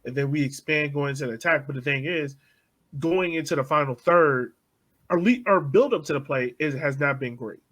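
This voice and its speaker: A man co-hosting a casual sports podcast, eagerly explaining tactics with analogies and jumping in with quick agreement. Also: fairly high pitch, fairly bright tone. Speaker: podcast co-host.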